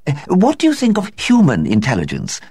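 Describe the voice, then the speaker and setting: A man's voice: fairly high pitch, wildly swooping pitch, brisk, darkish tone, husky, no room sound, studio quality, pitched above the performer's own voice, heavily acted. A man voicing a flustered society woman who talks hesitantly and lamely. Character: flustered, feeble-laughing young society woman.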